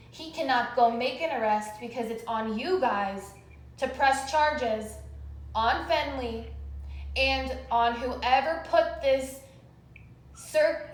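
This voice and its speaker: A woman calling in a complaint over the phone, explaining insistently and in detail. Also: high voice. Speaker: caller making a complaint to police. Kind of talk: phone call, recounting a complaint. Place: recorded phone call, echoey. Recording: echoey phone call recording.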